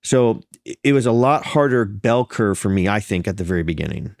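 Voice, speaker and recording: A man's voice: on the low side, expressive, brisk, darkish tone, dry recording. Man, pastor, slightly congested nasal voice, earnest conversational storytelling, frequent restarts, dry close sound. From Florida. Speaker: pastor and podcast guest. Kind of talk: conversational interview.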